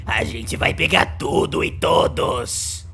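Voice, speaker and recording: Gravelly, sinister cartoon villain. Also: male voice, fairly high pitch, sharp tone, exaggerated voice. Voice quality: gravelly, raspy.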